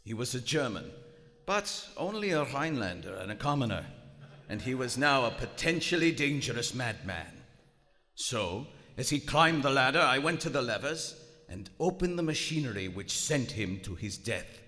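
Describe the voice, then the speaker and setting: A man's voice: mid-range voice, very animated, normal speed, sharp tone. A man playing a haughty, coldly rational German submarine commander in a live radio drama, with formal, precise, theatrical diction. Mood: grave, measured. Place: on stage in a large historic theater. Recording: live theater hall with reverb, music behind.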